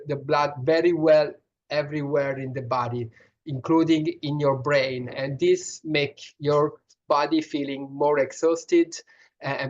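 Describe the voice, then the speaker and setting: A man's voice: a little high, lo-fi sound. A man with a strong Italian accent, a pediatrician answering questions in an online session, explaining earnestly with many restarts and fillers. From Italy.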